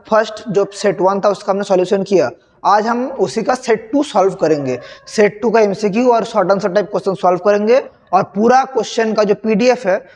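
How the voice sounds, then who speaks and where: A young male physics teacher with a sore, hoarse throat, slowly working through a sample paper and repeating questions to his students. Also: sits high, darkish tone. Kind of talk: solving a sample paper on screen. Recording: long reverb.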